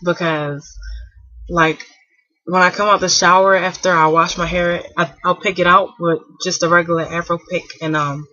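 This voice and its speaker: A young woman in her twenties talks to camera from home in a bright, slightly husky voice. She rambles loosely, full of fillers and restarts, and keeps things quiet so a sleeping child won't wake. The dry, dull sound of old webcam audio frames it all. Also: on the low side, little pitch movement, normal speed, dry recording. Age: 20s. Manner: speaking softly to keep a napping child asleep. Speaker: hair vlogger. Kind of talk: casual chat to camera.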